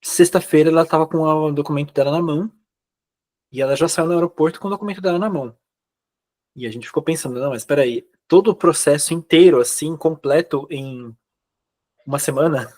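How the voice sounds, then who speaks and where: Man, Brazilian accent, slightly high voice. Casual interview guest sharing his own experience as an immigrant. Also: fairly quick, a little mellow. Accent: Brazilian Portuguese. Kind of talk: casual conversation, telling personal experience.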